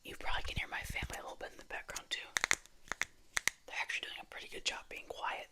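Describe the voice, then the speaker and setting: An ASMR host talking casually and hesitantly in a soft, breathy, husky whisper, rambling in a chatty way. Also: quick pace. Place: a room at home.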